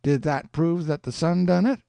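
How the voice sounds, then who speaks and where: A man's voice: a little high, moderate pace, gravelly, mellow, smooth, no echo, pitched above the performer's own voice. A man doing a smug know-it-all country boy. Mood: superior. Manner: lecturing, superior, rustic dialect.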